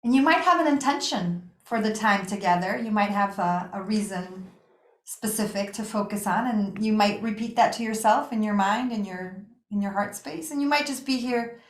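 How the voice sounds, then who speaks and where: Female meditation guide, mid-range voice, gentle and calming delivery with lingering pauses, recorded in a reverberant room. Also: medium pitch. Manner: gentle, soothing, unhurried with pauses. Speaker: yoga nidra meditation teacher. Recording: echoey room.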